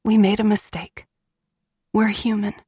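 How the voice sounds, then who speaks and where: A woman's voice: medium pitch, flat delivery, a little slow, warm tone, slightly rough, dry recording. Woman acting a defiant, devoted wife, dry recording. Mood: defiant, pleading. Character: defiant, devoted young wife of a dangerous man.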